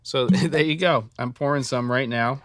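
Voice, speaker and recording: A man's voice: mid-range voice, normal speed, fairly bright tone. A man co-hosting a casual show, chatting and rambling with frequent laughs. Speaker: podcast co-host. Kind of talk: casual chat.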